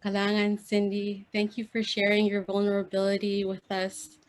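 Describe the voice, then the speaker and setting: A woman hosting an online event, gently thanking one performer and introducing the next over a video call. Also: medium pitch, monotone, normal speed, poor audio. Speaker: event host.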